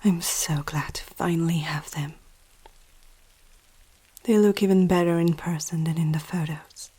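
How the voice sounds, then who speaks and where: A woman playing a powerful, seductive, possessive vampire aristocrat in an ASMR roleplay, speaking softly and often whispering, with a teasing, regal menace. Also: on the low side, fairly even intonation, measured pace. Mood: cool, composed. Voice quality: soft, breathy, often dropping to a whisper. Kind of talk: ASMR roleplay, intimate slow scripted monologue.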